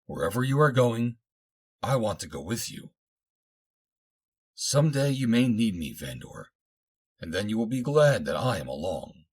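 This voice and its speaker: Male narrator as devoted young heroine, raised pitch, husky, fervent, formal phrasing, adventure audiobook. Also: very animated, normal speed, long pauses, darkish tone. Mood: resolute devotion. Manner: fervent, declarative, formal old-fashioned phrasing. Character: young woman, freed captive, devoted, emotional, impulsive.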